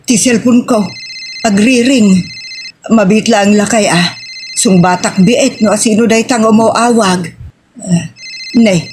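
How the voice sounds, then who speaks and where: A woman acting an earnest mature mother in an audio drama, with a Filipino Ilocano accent. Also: a little high. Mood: conversational. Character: earnest middle-aged or older mother, pleading. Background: prominent music.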